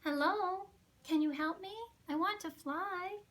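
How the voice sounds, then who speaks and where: A woman's voice: very high voice, unhurried, fairly bright tone, slight background noise, pitched above the performer's own voice. A woman voicing an eager, earnest young penguin girl in a storybook reading. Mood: eager, pleading.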